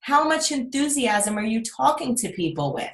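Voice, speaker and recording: A woman leading a motivational group video call, energetic and full of rhetorical questions to her team. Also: on the high side, moderate pace. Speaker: team leader on a coaching call. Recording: roomy echo.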